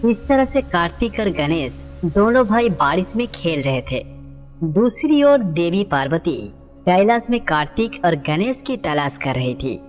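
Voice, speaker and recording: A woman's voice: on the low side, big pitch swings, a little slow. A woman reading scripted narration for a devotional story. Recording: long reverb, music underneath.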